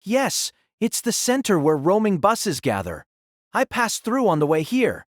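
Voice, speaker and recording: Woman as a slow, hesitant teenage boy. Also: mid-range voice, huge pitch swings, no echo, studio quality. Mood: polite, guarded. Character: reserved, hesitant, polite teenage boy student. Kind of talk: anime-style audio drama acting.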